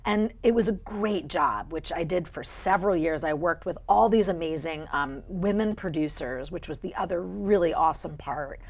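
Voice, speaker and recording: A woman voice actor, a guest on a studio talk show, telling stories about her career in a lively, expressive way. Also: fairly low pitch, moderate pace, hardly any pauses. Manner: animated, expressive storyteller. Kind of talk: interview storytelling. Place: TV studio couch.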